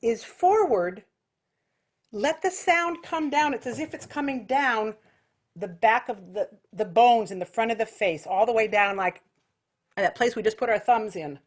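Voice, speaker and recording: A woman's voice: a little high, expressive, unhurried. A woman giving an informal singing lesson as a vocal technique teacher, explaining things with vivid imagery.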